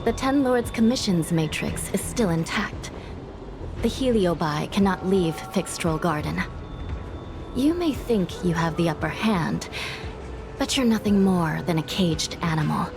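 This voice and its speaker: Calm authoritative lady judge from a game, slightly low voice, resolute. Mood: stern. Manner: measured, authoritative. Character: composed, resolute female judge. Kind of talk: scripted video game voice acting. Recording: voice under prominent music.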